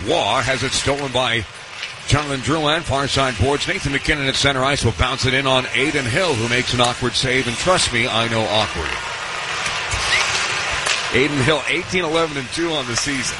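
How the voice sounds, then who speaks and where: A man's voice: mid-range voice, moderate pace. A man with a North American accent calling live ice hockey play-by-play on the radio, in clipped running description with dry asides. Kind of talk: live sports play-by-play commentary. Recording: live sports radio broadcast, loud arena noise. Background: loud arena ambience.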